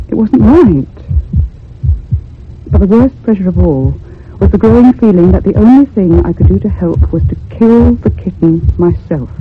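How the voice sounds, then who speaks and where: A man playing a troubled, sensitive narrator in a radio ghost story, speaking in measured, earnest, literary phrases. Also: a little high. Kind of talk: dramatic radio horror narration. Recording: tinny, narrow old radio recording with hum.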